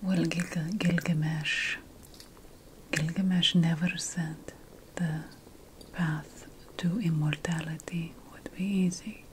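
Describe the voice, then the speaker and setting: A woman playing an ancient, wry and fussy witch mentor in a slow, breathy whispered ASMR fantasy roleplay. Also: low-pitched, steady tone, a little hiss or hum. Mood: wry, coaxing. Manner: slow, deliberate, wry, theatrical. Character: ancient Mesopotamian witch mentor, teasing, fussy about plant remedies.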